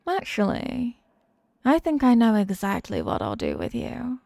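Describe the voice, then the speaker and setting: A haughty demon queen, played by a woman for an ASMR roleplay, speaking slowly with a creaky edge in a fairly high voice. She is mocking and possessive, teasing the listener with deliberate condescension. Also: wildly swooping pitch, a little mellow, dry recording, heavily acted. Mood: smug, possessive.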